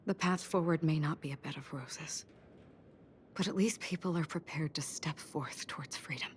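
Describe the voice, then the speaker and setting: An actress voicing a solemn, gentle woman in a game cutscene, speaking softly and breathily in a slow, reflective way. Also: low voice, a little hiss or hum, lightly acted. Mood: tender, wistful. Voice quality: soft, breathy, half-whispered. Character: solemn, gentle woman paying quiet tribute to the departed. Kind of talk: scripted game cutscene monologue.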